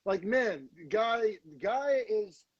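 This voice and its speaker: A man's voice: high voice, expressive, drawn-out words, dry recording. A young man co-hosting a podcast in casual chat, rambling with lots of fillers and restarts. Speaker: podcast co-host. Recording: dull, dry lo-fi sound.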